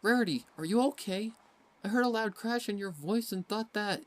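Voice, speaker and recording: Man putting on a high-pitched little filly. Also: normal speed, background noise, lightly acted. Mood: worried. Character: little filly, worried innocent younger sister, high-pitched. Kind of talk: dramatic fan fiction reading.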